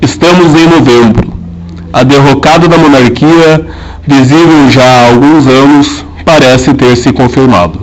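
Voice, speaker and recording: A man narrating an amateur historical radio drama, telling it in a measured and solemn way. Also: mid-range voice, fairly even intonation, poor audio.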